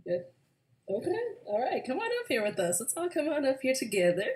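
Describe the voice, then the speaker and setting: A woman's voice: high voice, huge pitch swings, a little fast, darkish tone. A woman hosting a dating show, upbeat and coaxing as she asks questions.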